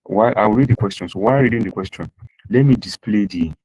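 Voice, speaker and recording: Low husky-voiced man lecturing online. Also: fairly quick, a little mellow, rough recording. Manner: repeats points and asks rhetorical questions. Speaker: accounting lecturer. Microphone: online class audio.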